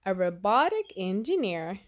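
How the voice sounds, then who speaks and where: A woman voicing a patient, knowing mother in a picture book, explaining in a measured way. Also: mid-range voice, very animated.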